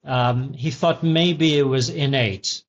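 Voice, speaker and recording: A man's voice: medium pitch, slow pace. A man in his fifties, a former tech CEO now an executive coach, answering interview questions in a thoughtful, teacherly way with frequent restarts. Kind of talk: interview answers, reflective storytelling. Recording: slightly dull lo-fi audio.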